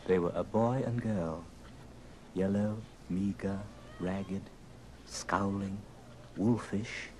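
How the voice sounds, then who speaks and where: A man narrating an old radio drama as a dramatic storyteller, with theatrical, deliberate phrasing. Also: sits low, fairly even intonation, darkish tone, slight background noise, poor audio. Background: music.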